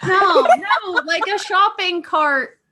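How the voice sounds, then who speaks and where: A woman's voice: extremely high pitch, slow, pushed and bright. A woman with a Pennsylvania Dutch accent, co-hosting a casual chat on a video call in an easygoing way. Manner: easygoing, repeats herself. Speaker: co-host.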